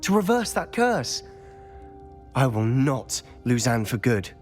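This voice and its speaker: An actor playing a defiant, fiercely protective teenage schoolboy wizard in a video game cutscene, with an intense, stubborn manner. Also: male voice, mid-range voice, sing-song, slow, darkish tone, soft background music. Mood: defiant, determined.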